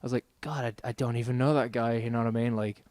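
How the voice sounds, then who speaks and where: Gravelly guy on a podcast, laid back, swears a lot, dry close sound. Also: mid-range voice, fairly even intonation, quick pace, darkish tone, no room sound. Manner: laid-back, swears often, laughs through stories. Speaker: podcast guest, metal singer. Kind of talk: casual chat.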